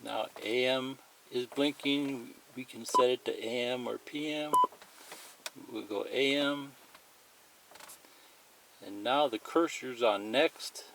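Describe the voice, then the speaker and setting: A man's voice: medium pitch, slow pace, vocal fry, long pauses, noisy background. A man giving a plain, methodical, unscripted step-by-step demonstration of a product as a tutorial presenter.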